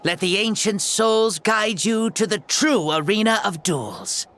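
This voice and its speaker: A woman's voice: medium pitch, measured pace, fairly bright tone, a little hiss or hum. A woman voicing an ancient male warrior god stuck in a boy's body, playful and boastful with a grand manner. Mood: grandiose.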